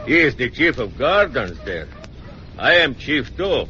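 A menacing Mexican policeman who grills his suspect in broken English with a thick Mexican Spanish accent. The voice rings bright and forceful, with a dull, lo-fi radio-drama sound under a faint hiss. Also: mid-range voice, big pitch swings, a little slow. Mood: suspicious. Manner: interrogating. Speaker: police official.

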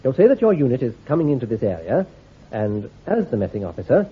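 A shrewd, brisk army intelligence commander in an old radio play, laying out orders in a clipped, authoritative manner. His voice is slightly husky with lively pitch movement. The recording sounds thin and tinny, like a phone line. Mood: commanding. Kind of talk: radio drama acting.

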